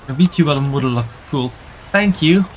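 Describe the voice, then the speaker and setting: A man with a German accent, talking casually with his chat while he plays. His voice sits at an ordinary pitch, and he answers questions in a friendly way. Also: lively intonation, normal speed, a little hiss or hum, poor audio. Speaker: streamer.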